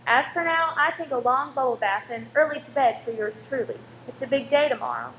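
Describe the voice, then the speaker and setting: A woman's voice: high voice, very animated, fairly quick, a bit bright. A woman playing a loyal, spirited cinema owner's wife with ready retorts in a radio play. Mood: warm. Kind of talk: amateur radio play acting. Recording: roomy echo with faint noise.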